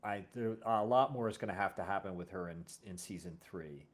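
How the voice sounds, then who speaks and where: A man hosting a casual conversation as a series creator, thinking aloud in a halting way and often correcting himself. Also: low voice. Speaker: host and audio drama series creator. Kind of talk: casual interview chat.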